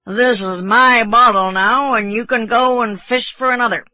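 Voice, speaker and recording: An audiobook narrator voicing a brash, rough, drunken sailor, a man who blusters with belligerent scorn. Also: medium pitch, fairly even intonation, normal speed, pushed and bright, no room sound, voice pushed up, poor audio. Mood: defiant, boastful. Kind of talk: audiobook character voice.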